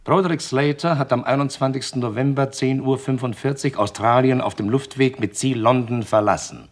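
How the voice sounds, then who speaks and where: A man's voice: mid-range voice, steady tone, normal speed, natural voice. A male actor playing a dutiful police subordinate in a German crime radio play, reporting in a formal, businesslike way.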